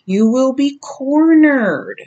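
Slow-speaking raspy woman reading tarot. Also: sits high, animated, warm tone, dull audio. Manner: slow, emphatic, drawn-out warnings. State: raspy from lack of sleep. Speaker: tarot reader. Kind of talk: slow intuitive card reading to camera. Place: small decorated bedroom indoors.

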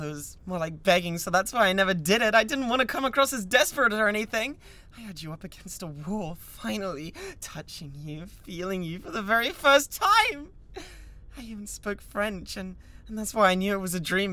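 A man's voice: sits high, sharp tone, exaggerated voice. A British man playing an obsessive, lovesick young classmate with an awkward yet menacing manner, in a dramatic ASMR roleplay monologue. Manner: halting, breathy laughs, swinging between shy murmurs and manic outbursts. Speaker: voice actor.

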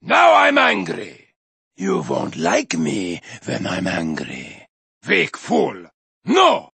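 An actor playing a crazed, bloodthirsty male Viking warrior in a racing game, shouting gravelly taunts and battle cries with manic cackles. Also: medium pitch, huge pitch swings, drawn-out words, dry recording, heavily acted. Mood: menacing growl. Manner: boastful, taunting battle cries, sudden drops to a menacing growl. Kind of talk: video game character voice lines.

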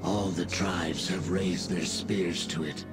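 An actor playing a grave, primal lizard-man of the marsh in a video game, speaking solemnly in halting broken English with breathy pauses. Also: deep voice, steady tone. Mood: grave, brooding. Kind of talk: video game character dialogue. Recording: game dialogue over music. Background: music.

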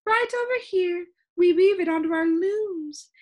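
A very high-pitched, bossy storybook lady, as a woman reader acts her out. She is fussy and theatrical, and her commanding voice swoops widely from word to word. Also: big pitch swings, moderate pace. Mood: proud explaining. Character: bossy, fussy, imperious woman keeper of sounds. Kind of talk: children's storybook character voice.

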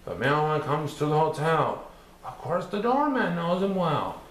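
A man with a fairly high, very animated voice reads a children's rhyming storybook aloud. His delivery is theatrical and sing-song, following the beat of the verse. The room adds clear echo. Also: a little slow. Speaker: storybook reader. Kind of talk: expressive children's picture book read-aloud. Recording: roomy echo with some background noise.

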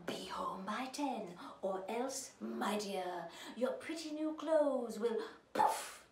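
A woman's voice: fairly high pitch, animated, a little mellow, reverberant, far from the mic, a little hiss or hum. A woman voicing a playful, doting old lady fairy godmother in a storybook, theatrical and exclamatory. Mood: gently warning. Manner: exclamatory, theatrical, with drawn-out words.

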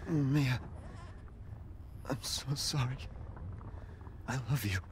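A dying father in a game cutscene. The voice is weak and breathy at a middle pitch, tender and resigned. Clear ambient noise surrounds it. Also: very slow. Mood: tender, failing. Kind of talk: dramatic video game cutscene acting.

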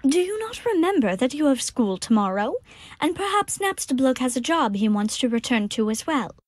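A woman playing a gentle, motherly monster in an audio drama, with kindly, formal, careful phrasing. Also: sits high, very animated, normal speed, no room sound, studio quality. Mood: gently scolding.

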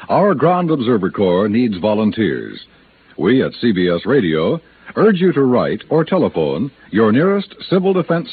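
A man with an American accent announcing formally in 1950s radio style, reading a scripted, declamatory appeal. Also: medium pitch. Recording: muffled, faintly noisy vintage radio recording.

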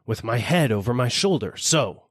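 A man's voice: medium pitch, expressive, moderate pace, husky, no room sound, studio quality, voice pushed up. A man voicing an impatient, gullible tiger in a storybook, with a roaring manner.

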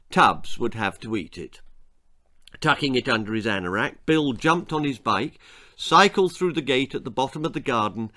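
Older man telling stories, slow and very expressive. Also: mid-range voice. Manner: theatrical, deliberate, relishing gruesome wordplay. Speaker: author reading his own story. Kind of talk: dramatic storytelling for children. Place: small indoor room lined with bookshelves.

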